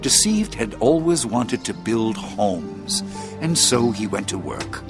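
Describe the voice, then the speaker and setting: An actor playing a wise, solemn storyteller in an audio drama, with a theatrical, deliberate delivery. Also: male voice, mid-range voice. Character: wise, solemn storyteller recounting a fallen kingdom's tale. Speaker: narrator.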